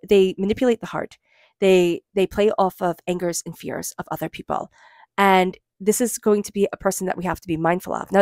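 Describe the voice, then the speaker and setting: A woman giving a gentle, reflective tarot reading, talking casually with many fillers. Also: medium pitch, no echo, studio quality. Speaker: tarot reader.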